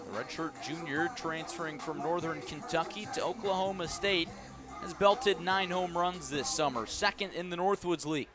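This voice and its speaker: A man's voice: on the high side, moderate pace, music in the background. An American man giving live radio play-by-play of a baseball game as the announcer. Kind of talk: live radio sports commentary.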